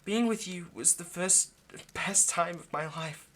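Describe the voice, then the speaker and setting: A man voicing an ageless vampire lover in an intimate audio roleplay, possessive yet remorseful, speaking in a halting, earnest, pleading way. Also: high voice, a bit bright. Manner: halting, earnest, pleading, dropping to near-whisper.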